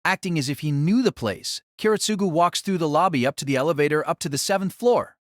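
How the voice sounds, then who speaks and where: Narrator for an audio drama: man, slightly high voice, very varied melody, echo-free sound. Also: lively intonation, quick pace, clean studio sound.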